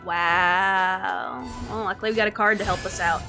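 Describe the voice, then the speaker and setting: A woman's voice: mid-range voice, steady tone, normal speed. A woman giving casual, playful live game stream commentary, often repeating her phrases. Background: game music.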